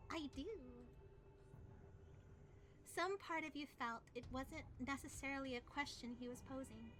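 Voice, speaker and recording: A woman streaming a visual novel playthrough, expressive and excitable as she narrates and chats. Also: sits high, normal speed. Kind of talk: casual livestream chat and dramatic narration. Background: music.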